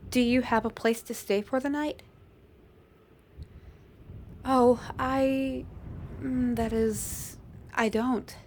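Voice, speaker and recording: A shy, humble young flower girl from a fantasy roleplay, played by a woman. Her voice sits a little high, and she speaks softly and politely with quaint, old-fashioned phrasing. Her manner stays gentle and grateful.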